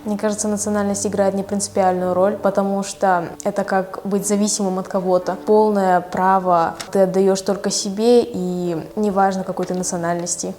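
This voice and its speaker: A woman's voice: mid-range voice, level tone, moderate pace, few pauses, a little mellow. A woman answering a street interview in a reflective way. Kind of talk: reflective vox-pop answer.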